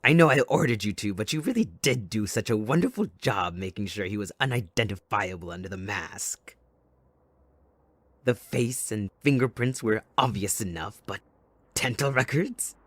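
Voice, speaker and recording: A man playing a sadistic, possessive supervillain kidnapper in an audio roleplay, taunting theatrically and mock-sweetly, moving between hushed menace and outbursts. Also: lowish voice, animated, moderate pace, dry recording. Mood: mock-mournful, taunting. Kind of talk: dramatic audio roleplay monologue.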